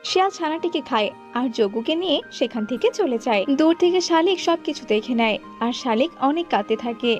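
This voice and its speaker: A woman reading scripted narration for a children's animated folk tale. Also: high-pitched, a little fast, few pauses. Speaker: storyteller narrator. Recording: dull lo-fi audio with music behind.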